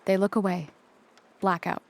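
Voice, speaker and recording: A woman's voice: medium pitch, little pitch movement, normal speed. A woman reading stage directions as scripted narration for a play.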